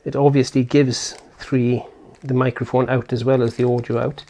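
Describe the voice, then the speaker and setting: Guy doing a casual unboxing video, medium pitched voice, kinda thinking out loud as he goes. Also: little pitch movement, moderate pace. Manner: unscripted, thinking aloud. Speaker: video host. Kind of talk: casual unboxing and setup walkthrough.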